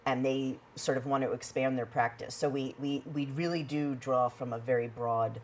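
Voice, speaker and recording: A woman from a film collective, answering interview questions in a measured way with filler words in a TV studio. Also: rumbling low, steady tone, a little mellow, slight background noise.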